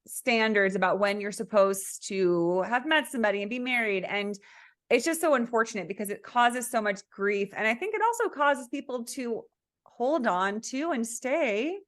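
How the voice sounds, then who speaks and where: A woman hosting a podcast, asking gentle and encouraging interview questions in a casual chat. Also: fairly high pitch. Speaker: podcast host.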